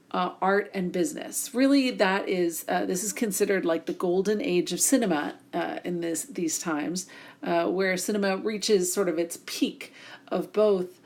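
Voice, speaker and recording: A woman with a hoarse voice from a cold, lecturing casually over slides with many fillers. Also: medium pitch. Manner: casual, many ums, reads slides aloud. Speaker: instructor.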